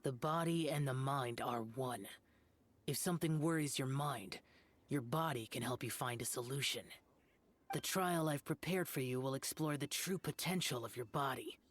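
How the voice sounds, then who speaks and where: A woman's voice: very deep voice, moderate pace, a little hiss or hum. A woman voicing an aloof, stern young male immortal warrior in an anime-style video game, curt, cold and solemn. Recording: faint music behind the voice.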